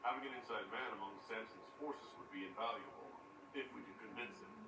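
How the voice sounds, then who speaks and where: An actor playing a calm, supportive fantasy leader in a video game, with a steady and sincere delivery. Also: male voice, lowish voice, fairly quick, close to an everyday voice. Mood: questioning. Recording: roomy, distant, very noisy game capture.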